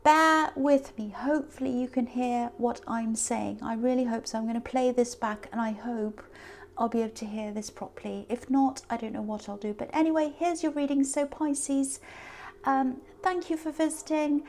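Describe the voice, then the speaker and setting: Woman tarot reader, high and gravelly, voice hoarse and sore, chatty and rambling. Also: steady tone, moderate pace, a little mellow. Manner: chatty and meandering, speaking straight to the viewer. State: hoarse, sore voice from talking a lot. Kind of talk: casual tarot reading to camera.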